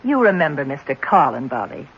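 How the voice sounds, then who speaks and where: An actress playing a brisk, coolly matter-of-fact orphanage matron in an old-time radio drama. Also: female voice, low voice, big pitch swings, breathy edge, heavily acted. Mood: brisk, unconcerned. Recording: dull, noisy old radio broadcast recording.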